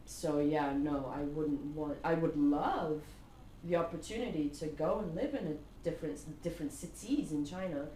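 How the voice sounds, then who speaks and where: Animated woman from Kuwait, echoey room. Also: sits low, moderate pace. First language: Arabic. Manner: animated storyteller, laughs often, many fillers. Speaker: podcast guest. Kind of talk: casual conversation. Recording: echoey room with faint noise.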